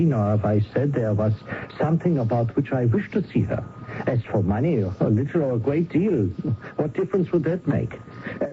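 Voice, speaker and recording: A man playing a formal, evasive Danish lawyer with measured courtesy in a radio mystery drama. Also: medium pitch, natural voice. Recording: noisy muffled old radio recording.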